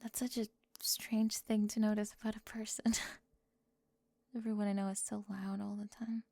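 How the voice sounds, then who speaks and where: Woman, mid-pitched, gravelly edge, dry close whispers, teasing roleplay delivery. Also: little pitch movement, moderate pace, no echo. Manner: teasing, sharp-tongued, moving between whispers and soft speech. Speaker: ASMR roleplay performer.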